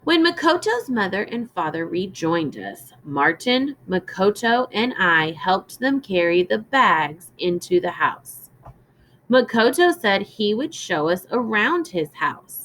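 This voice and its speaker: Slow, expressive woman teacher reading aloud. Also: medium pitch, a little hiss or hum, poor audio. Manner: instructive, deliberate, prompting listeners with questions. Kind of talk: read-aloud lesson for young children with explanations and questions.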